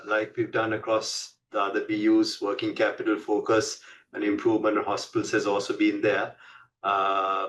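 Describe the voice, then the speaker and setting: A man presenting on an earnings call as a company executive, explaining in a measured corporate way. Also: on the low side, a bit bright, recorded from a distance, a little hiss or hum, low-quality recording.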